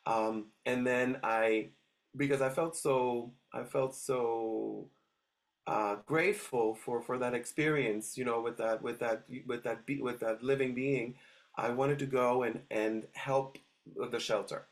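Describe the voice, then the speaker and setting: A middle-aged man from Switzerland, a dog trainer guest telling stories in a casual interview, hesitant and full of restarts. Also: medium pitch. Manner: hesitant, many restarts and fillers.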